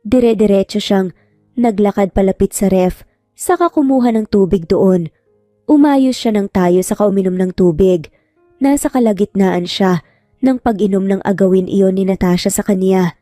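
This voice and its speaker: A woman's voice: mid-range voice, normal speed, warm tone, no echo, studio quality. A woman reading a scripted romantic drama as a storyteller. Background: music.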